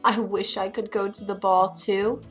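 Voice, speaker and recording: A woman's voice: medium pitch, flat delivery, normal speed, faint music behind, lightly acted. A woman voicing Cinderella, a sweet, wistful young woman in a storybook.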